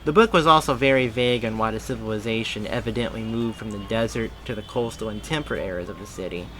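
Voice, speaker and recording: A man narrates a story with a bright, sharp-edged voice at a middling pitch. He reads emphatically and sometimes trips on a phrase and repeats it. Faint music plays behind him. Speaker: narrator reading fan fiction aloud. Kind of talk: animated story reading.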